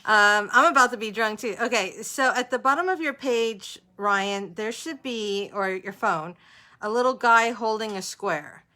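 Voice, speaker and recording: Bright-voiced woman hosting a casual livestream. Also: on the high side, measured pace. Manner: chatty, informal, talks to viewers as she goes. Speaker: host of an author interview livestream.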